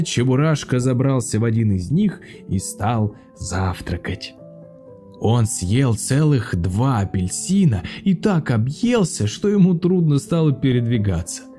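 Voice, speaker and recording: Give me a male actor reading a children's fairy tale, mellow and animated. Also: medium pitch. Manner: lively, expressive. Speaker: actor narrating fairy tales. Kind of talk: scripted storybook narration.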